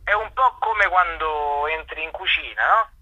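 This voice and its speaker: A man, an actor and director giving an informal interview as a guest, expressive and halting as he explains things with homely examples. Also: a little high, lo-fi sound.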